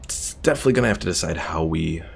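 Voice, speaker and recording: A man casually narrating a strategy game playthrough, thinking aloud and chatting with his stream viewers in a relaxed way. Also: sits low, rapid-fire, gravelly, slight background noise. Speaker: gaming streamer. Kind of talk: casual let's-play commentary. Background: game music.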